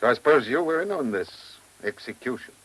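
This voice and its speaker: A man playing a principled, stern chief inspector in a radio play, with a terse, morally forceful delivery. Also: medium pitch, lively intonation, fairly quick, breathy edge. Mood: terse, weary. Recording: noisy old radio drama recording.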